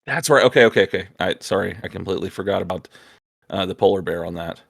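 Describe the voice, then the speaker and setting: Low, gravelly-edged man musing casually. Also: fast talker, a bit bright. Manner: agreeable, musing, thinking aloud. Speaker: co-host of a hobby video channel. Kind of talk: casual geeky chat.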